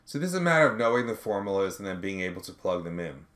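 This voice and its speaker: A man working step by step through an accounting tutorial as an instructor, in a measured way, reasoning aloud as he calculates. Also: deep voice, very animated, fairly bright tone. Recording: background noise with keyboard typing clicks.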